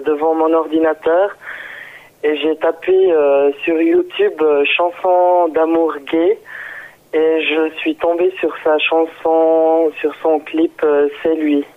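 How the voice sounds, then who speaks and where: Slow Swiss man on a tinny phone line. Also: fairly high pitch. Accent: Swiss French with Italian touch. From Switzerland. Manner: shy, hesitant, many fillers. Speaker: caller. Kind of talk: phone interview.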